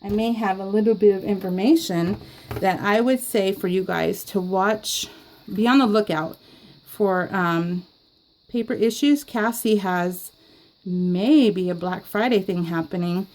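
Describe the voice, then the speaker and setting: A woman chatting casually to her viewers while she scrapbooks, giggling often and filling pauses with ums and restarts. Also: mid-range voice, a little mellow, poor audio. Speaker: scrapbooking YouTuber. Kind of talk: casual chat while crafting.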